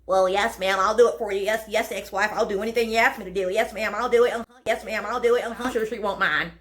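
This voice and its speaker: A woman's voice: mid-range voice, fairly even intonation, fast, fairly bright tone, close to an everyday voice. Woman mocking a servile, repetitive yes-man. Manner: mock-obedient, repetitive. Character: servile, eager-to-please man obeying his ex-wife.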